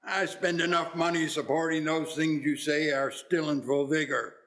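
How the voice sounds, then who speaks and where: A man's voice: a little high, fairly even intonation, normal speed, a bit bright. A man playing a cold, miserly old businessman in a radio play, curt, sarcastic and scornful. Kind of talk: community theatre radio play acting.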